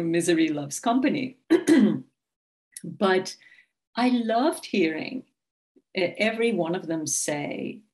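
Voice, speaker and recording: A woman's voice: fairly low pitch, darkish tone. A middle-aged woman from Iran, an author talking as a guest over a video call, thoughtful, with many fillers and restarts. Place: home room with bookshelf.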